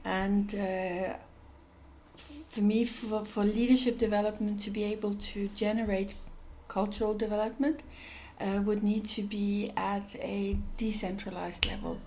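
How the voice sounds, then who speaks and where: A woman's voice: medium pitch, level tone, unhurried, a little mellow, a little hiss or hum, poor audio. A woman from Denmark, an NGO executive director, answering interview questions thoughtfully with many fillers and restarts.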